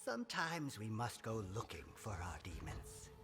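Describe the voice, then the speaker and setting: An actor playing a mysterious, calm old temple spirit guide who speaks cryptically in a fantasy game cinematic. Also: male voice, fairly low pitch. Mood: serene, inviting. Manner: slow, cryptic, deliberate. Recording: music behind the voice.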